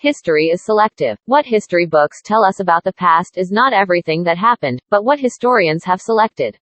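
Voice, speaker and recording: A woman's voice: fairly low pitch, lively intonation, brisk, fairly bright tone, dry recording. A woman reading an academic passage aloud from a script as a model for language practice. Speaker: read-aloud model reader.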